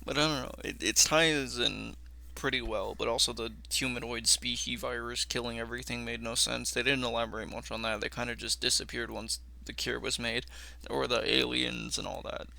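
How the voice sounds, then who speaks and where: A man's voice: mid-range voice, level tone, moderate pace, vocal fry, bright, forceful tone. A young man hosting a reaction video, casually commenting and reading text aloud.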